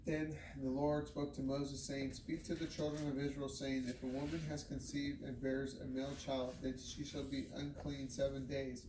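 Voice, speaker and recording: Man reading scripture, flat and roomy. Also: mid-range voice, normal speed, hardly any pauses. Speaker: scripture reader. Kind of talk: scripted Bible reading aloud. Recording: roomy, distant microphone, noisy background.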